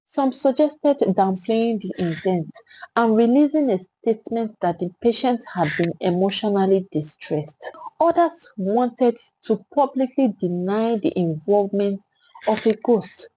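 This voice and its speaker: A Nigerian woman narrates a dramatic tale in a mellow, slightly husky voice. Her delivery is solemn and theatrical, shaped as scripted storytelling. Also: medium pitch, animated, measured pace, no room sound, rough recording.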